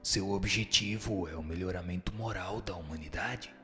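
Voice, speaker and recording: A man voicing a solemn, deep, bright-voiced wise spirit. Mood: calm, instructive. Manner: solemn, measured, didactic. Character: solemn wise spirit teacher giving moral answers. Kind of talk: scripted radio drama reading of spiritist text. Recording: music under the voice. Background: music.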